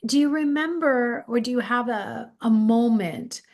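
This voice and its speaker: A woman's voice: a little high, unhurried, a little mellow. A woman hosting a conversational interview, reflective and playing with words, often affirming her guest. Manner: reflective, plays with words, many affirming fillers. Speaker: podcast host.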